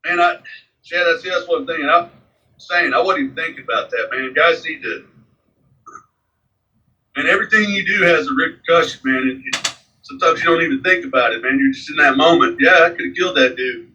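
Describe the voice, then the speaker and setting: A man's voice: on the high side, a little fast, pushed and bright, distant mic, rough recording. A man in his forties, a guest in a casual interview, rambling with lots of fillers. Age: 40s. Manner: rambling, frequent fillers like "man" and "you know".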